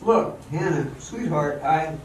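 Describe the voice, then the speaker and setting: A man's voice: on the high side, animated, slow pace. A man playing a bewildered, flustered leading man in an old-time radio drama, heard from a distance in a very echoey room. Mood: flustered, pleading. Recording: very echoey, distant stage mic with faint noise.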